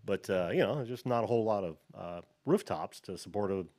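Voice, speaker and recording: A man's voice: on the low side, expressive, a little fast, darkish tone. An American man hosting a call-in show over a video call, chatting casually, often laughing, trailing off and restarting.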